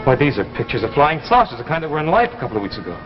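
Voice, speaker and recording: A man acts out an ordinary fellow who will not be talked into things, agitated and full of disbelief. His pitch leaps about with protest. It is a muffled 1950s science fiction broadcast with music underneath. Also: very animated, rapid-fire, close to an everyday voice. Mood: bewildered. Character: skeptical, indignant ordinary man recruited for secret mission. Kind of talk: 1950s science fiction anthology drama acting. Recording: dull vintage 1950s broadcast, music behind.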